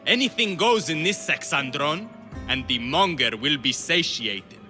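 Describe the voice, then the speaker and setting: A man's voice: a little high, lively intonation, unhurried, heavily acted. An actor playing a cruel, mocking male henchman who taunts in a sneering way, in a game cutscene. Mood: taunting. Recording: game cutscene with loud music. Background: music.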